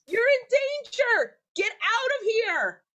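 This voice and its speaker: A woman's voice: very high voice, big pitch swings, takes it slowly, fairly bright tone, heavily acted. A woman playing a brave, headstrong talking hawk in a staged reading, urgent and defiant. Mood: urgent, frantic.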